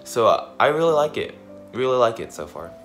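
A young man from California narrating a casual travel vlog in a small dorm room, talking into a phone at arm's length in a relaxed way with fillers. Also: medium pitch, brisk. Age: young adult. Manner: relaxed, laid-back with fillers. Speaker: vlogger. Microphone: phone held at arm's length. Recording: background music under the voice.

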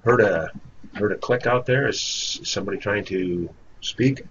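A man moderating an informal work conference call in a halting, tentative way. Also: fairly low pitch, fairly bright tone. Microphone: conference call microphone. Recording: muffled, noisy conference-call audio.

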